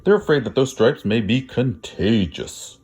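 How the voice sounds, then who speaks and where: A man's voice: medium pitch, animated, a little slow, a little mellow, husky. A man voicing a formal, apologetic school principal from a children's storybook. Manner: formal, regretful.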